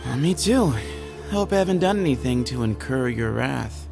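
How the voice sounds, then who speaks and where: A man's voice: medium pitch, animated, creaky, music in the background. An actor playing a sly, teasing young man who leads a secret group in a game, speaking in a playful, mocking way. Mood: wry. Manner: playful, mocking, unhurried drawl. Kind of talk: game cutscene voice acting.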